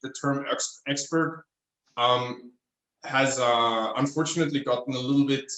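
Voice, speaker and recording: A man's voice: mid-range voice, steady tone, moderate pace, bright, forceful tone, roomy sound, low-quality recording. A man in his thirties from Austria with an Austrian German accent, German his first language, chatting as an interview guest and searching thoughtfully for English words. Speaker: guest craftsman. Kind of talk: casual interview chat. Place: his apartment at home.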